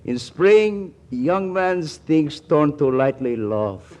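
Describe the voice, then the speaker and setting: Wheedling Latin moocher, Spanish accent, mangled English, very animated, slightly noisy 1940s radio comedy. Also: male voice, on the high side, slow. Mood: wistful, prying. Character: excitable, mooching Latin gigolo friend of the secretary.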